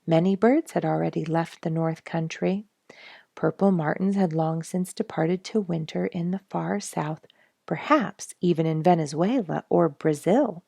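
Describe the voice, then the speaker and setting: A woman narrating a children's story aloud in a measured, deliberate way with dramatic emphasis. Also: lowish voice, gravelly, dark tone, dry recording. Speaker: children's story reader, regular of the show.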